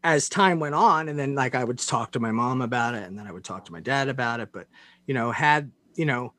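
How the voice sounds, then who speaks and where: A man interviewing in a casual, conversational way, halting and often padding his sentences with "you know". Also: mid-range voice, expressive, fairly bright tone, dry recording. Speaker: interviewer, host.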